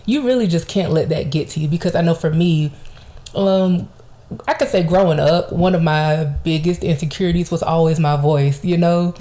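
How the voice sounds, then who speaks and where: A young woman from Georgia with a Southern accent, co-hosting a casual chat podcast in a low voice and encouraging manner. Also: moderate pace, mellow. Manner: affirming, encouraging. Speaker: podcast co-host.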